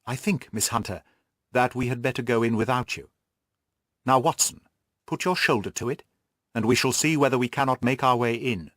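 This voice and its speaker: A man voicing a shrewd, brisk detective who explains his deductions emphatically and gives orders in an audiobook. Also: mid-range voice, a bit bright, dry recording, clean studio sound, natural voice. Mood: brisk, commanding. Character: shrewd male detective, brisk, commanding, analytical. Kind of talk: audiobook character dialogue.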